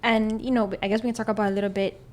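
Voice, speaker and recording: A woman's voice: mid-range voice, flat delivery, very fast, darkish tone. Woman in her thirties, chatty and agreeable. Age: 30s. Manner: agreeable, often adds "right?". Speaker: real estate podcast co-host. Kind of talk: casual conversational chat.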